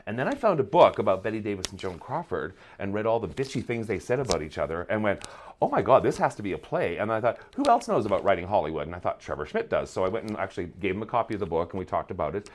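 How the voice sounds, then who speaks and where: Animated male storyteller with big pitch swings. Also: fast, slight background noise. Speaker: guest, playwright and drag performer. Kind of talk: animated anecdote in casual interview.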